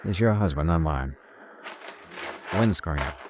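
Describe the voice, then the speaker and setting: An American actor playing a blunt, world-weary hardboiled investigator in a 1950s radio detective drama, wry and terse. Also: male voice, deep voice, expressive, measured pace, a little mellow, slight background noise. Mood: probing, wry.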